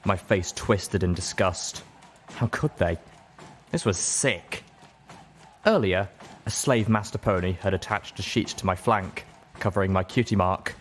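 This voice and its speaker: A man narrates an audiobook in a fairly low voice. His delivery is scripted, dramatic storytelling, recorded with clear noise in the background. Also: unhurried. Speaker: audiobook reader.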